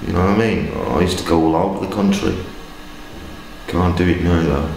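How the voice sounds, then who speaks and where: A man's voice: sits low, steady tone, a little fast, gravelly, a little mellow. A man, a hostel resident talking plainly in a documentary interview. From England. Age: 50s. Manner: plain-spoken, rambling with fillers. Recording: echoey hall with faint noise.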